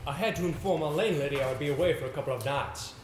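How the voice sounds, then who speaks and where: Casting: man as confident, persuasive young gentleman, theatrical stage delivery, slightly high pitch, roomy sound with faint noise. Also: very fast, lightly acted. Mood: earnest. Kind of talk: amateur stage play acting. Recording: echoey stage recording with background hiss.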